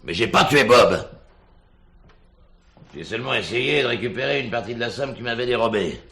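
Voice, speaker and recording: A man's voice: medium pitch, expressive, normal speed, fairly bright tone. A man acting a cornered suspect in a French police drama, hesitant, evasive and self-justifying. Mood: confessing, pleading.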